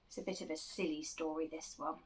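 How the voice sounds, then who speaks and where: A woman reading a rhyming children's picture book aloud, expressively and with deliberate dramatic emphasis. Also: low-pitched, fairly even intonation, a little hiss or hum. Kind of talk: animated children's story reading, rhyming verse.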